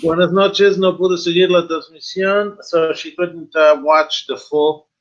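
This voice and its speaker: A man in his fifties hosting a webinar on a video call, informal and halting as he reads and answers viewer questions, switching between languages. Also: fairly high pitch, steady tone. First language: not Spanish, though speaking it at times. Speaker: webinar host. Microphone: video call, computer microphone. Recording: slightly dull video-call audio.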